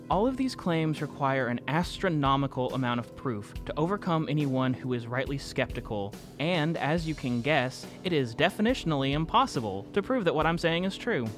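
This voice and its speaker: A man's voice: medium pitch, close to an everyday voice. A man playing an earnest, anxious narrator in an audio drama, telling his story in a wry, reflective, conversational way. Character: Mike Walters, earnest anxious man recounting a strange game. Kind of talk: first-person confessional audio drama narration. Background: music.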